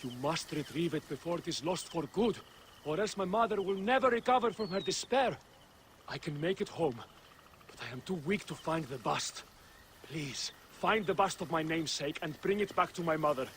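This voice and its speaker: An earnest young man devoted to his family in a video game drama. His voice is high for a man and his delivery is dramatic. Faint noise runs behind it. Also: animated, normal speed, fairly bright tone. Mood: pleading. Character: earnest, weary young son pleading for family honour. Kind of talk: video game cutscene acting.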